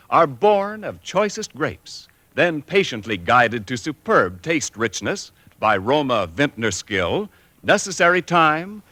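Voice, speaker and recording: A polished radio announcer from the 1940s, bright and lively as he pitches a sponsor. The recording is close and dry. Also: dry recording.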